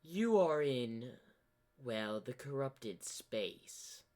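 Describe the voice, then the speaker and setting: A man voicing a sinister corrupted double of a zebra hero, smug and menacing, with theatrical, taunting, drawn-out phrasing. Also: medium pitch, lively intonation, darkish tone. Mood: smug, casual.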